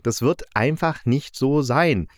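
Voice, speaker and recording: A man's voice: medium pitch, slow, no room sound, studio quality. A man giving a casual, colloquial and opinionated spoken review, retelling stories as a host. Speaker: host reviewing audio dramas.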